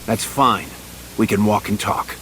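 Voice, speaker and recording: A man's voice: fairly low pitch, fairly even intonation, measured pace, a bit bright, a little hiss or hum. A man playing a stern, long-suffering military commander in a video game voice drama, formal and exasperated in manner.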